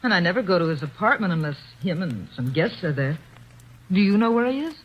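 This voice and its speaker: Wary young woman in a radio play, breathy, lo-fi old recording. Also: fairly low pitch, expressive, fairly quick. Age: young adult. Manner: wary, anxious replies. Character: Violet, nervous young woman, streetwise. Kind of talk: 1940s radio acting.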